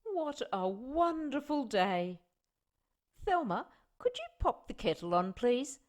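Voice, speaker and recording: A woman's voice: high voice, big pitch swings, slow, full character voice. A woman playing a caring, practical wartime mother organising her grown family in a radio play. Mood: cheerful, organising. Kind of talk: amateur radio play dialogue.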